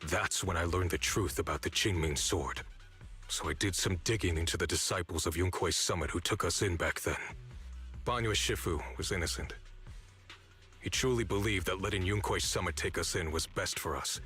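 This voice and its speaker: A man's voice: sits low, normal speed, sharp tone. An actor playing a bitter, resolute young man, an orphaned former disciple, in a dramatic video game with sardonic, accusing delivery. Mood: scornful. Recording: studio voice over background music. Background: music.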